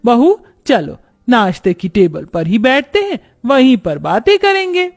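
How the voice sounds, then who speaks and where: A man's voice: very high voice, sing-song, a little slow, heavily acted. A man voicing a bossy middle-aged mother-in-law who gives orders, in a cartoon story. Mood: bossy, brisk. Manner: commanding, calling out orders.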